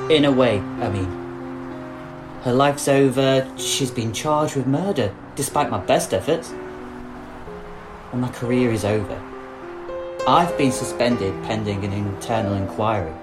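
A distraught policeman, played by a male actor in an audio drama, bitter and full of guilt. He speaks at an ordinary male pitch in a halting, anguished, emotional way, with music under the voice. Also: lightly acted, low-quality recording. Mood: agitated, desperate. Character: distraught suspended young policeman, bitter, guilt-ridden lover. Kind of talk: audio drama monologue and dialogue.

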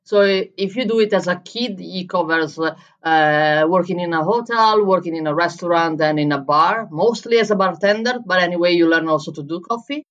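A woman from Italy speaking English as a second language with an Italian accent, answering interview questions in a halting, filler-heavy way. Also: on the low side, lo-fi sound. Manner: halting non-native phrasing with fillers. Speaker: guest, head barista and coffee coach.

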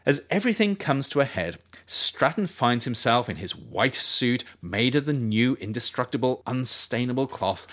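A man narrates a nonfiction audiobook with a lively, widely moving pitch. His delivery is measured and scripted, leaning expressively on key phrases. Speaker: author reading their own book, narrator.

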